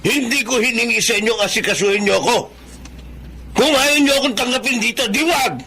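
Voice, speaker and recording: A man's voice: very high-pitched, fairly quick, sharp tone, husky, exaggerated voice. An actor playing an irresponsible, reproachful middle-aged father in a Tagalog radio drama, scolding and defensive. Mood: defensive, angry.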